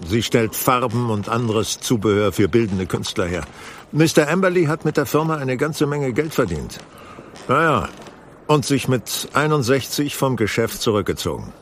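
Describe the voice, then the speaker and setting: An actor playing a composed, wry detective in an audio drama, explaining things in a measured, articulate way with dry irony. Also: male voice, medium pitch, animated, slight background noise, lightly acted. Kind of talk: German audio drama acting.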